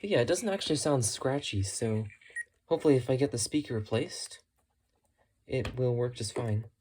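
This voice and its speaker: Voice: medium pitch, moderate pace, noisy background. A hobbyist vlogger giving a casual, unscripted show-and-tell in a matter-of-fact way, musing tentatively while talking. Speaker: hobbyist vlogger showing thrift-store radio finds.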